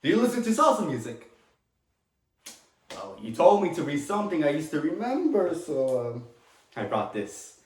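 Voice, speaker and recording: A man performing a dramatic monologue as a talkative, tender immigrant father with a Latin American Spanish accent, rambling haltingly with small chuckles. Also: fairly high pitch, sing-song. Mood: nervous, chatty. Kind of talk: dramatic monologue, stage acting. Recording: echoey room.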